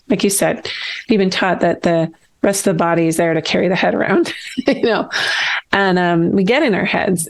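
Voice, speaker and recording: A middle-aged woman hosts a relaxed interview with a low, gravelly voice. She thinks aloud in long, winding sentences that circle back on themselves. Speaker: podcast host. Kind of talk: casual interview chat.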